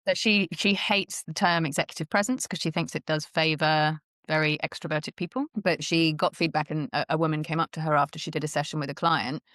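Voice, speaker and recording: A woman hosting an online panel discussion, talking in a brisk, thoughtful, conversational way over a video call. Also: deep voice, a bit bright, dry recording. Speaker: host.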